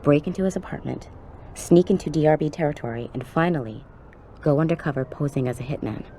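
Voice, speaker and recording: Game cutscene policewoman: deep female voice, streetwise and blunt, faint noise behind. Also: fairly quick, warm tone. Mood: blunt, conspiratorial. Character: jaded, foul-mouthed undercover policewoman, old colleague. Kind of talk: video game cutscene acting.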